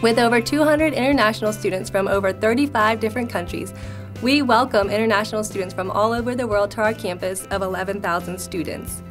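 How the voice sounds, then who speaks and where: A woman in her thirties reads a polished, upbeat promotional script for a university. Her voice sits at an ordinary middle pitch. A clear music bed plays underneath her. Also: fast. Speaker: international services staff. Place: university building lobby.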